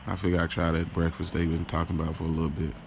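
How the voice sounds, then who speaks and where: Audio drama lead, played by a man: lovestruck, boastful, self-doubting guy. Very low, creaky voice, slangy first-person monologue, talks to listeners like friends. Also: monotone, very fast, a little hiss or hum. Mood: wry. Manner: casual, slangy, confiding, addresses listeners directly. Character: smitten, boastful, self-questioning man recounting his love life.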